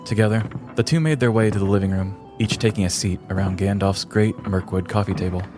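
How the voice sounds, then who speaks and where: Casting: male storyteller, low voice, measured and theatrical, comic fantasy narration over prominent music. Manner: mock-epic, theatrical, measured. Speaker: podcast host and storyteller. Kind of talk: dramatic scripted comedic fantasy narration.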